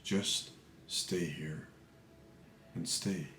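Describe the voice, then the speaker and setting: A man with a low voice leads a guided meditation in a calm, measured manner. He speaks very slowly, leaving pauses between short phrases. The sound is noticeably roomy. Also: a little hiss or hum. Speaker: meditation teacher. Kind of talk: guided meditation, unscripted spiritual talk.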